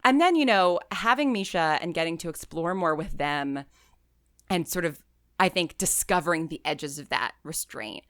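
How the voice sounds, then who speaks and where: A woman's voice: lowish voice, expressive, normal speed, a bit bright, no room sound, clean studio sound. A woman talking casually as an interview guest, rambling with lots of "like" and "you know", stressing key words. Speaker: interview guest, audio drama writer and creator. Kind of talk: casual, chatty interview answers.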